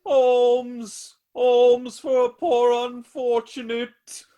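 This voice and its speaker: Meek ragged beggar, grateful and pleading, high voice, slow, played by a woman. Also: level tone, warm tone.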